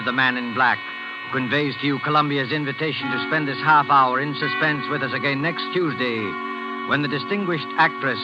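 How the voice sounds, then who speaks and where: Formal male radio narrator over music. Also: medium pitch. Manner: formal, measured. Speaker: narrator and announcer. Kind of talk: 1940s radio scripted narration. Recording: muffled 1940s radio recording.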